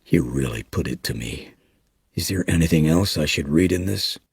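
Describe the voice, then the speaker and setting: A man voicing a weary, guilt-ridden small-town police chief with a gruff manner. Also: a bass voice, lively intonation, moderate pace, dry recording, clean studio sound, exaggerated voice. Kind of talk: audiobook character reading.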